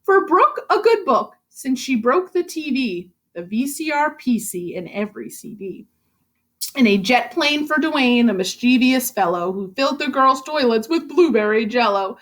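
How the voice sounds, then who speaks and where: A woman reading a rhyming storybook aloud to children as a teacher, with an expressive, rhythmic delivery. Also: high-pitched, normal speed. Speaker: elementary school reading teacher.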